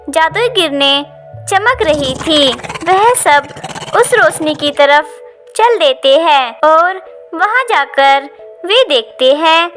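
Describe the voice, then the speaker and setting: A woman's voice: high-pitched, fairly bright tone. A woman narrating a scripted animal story for children as its storyteller.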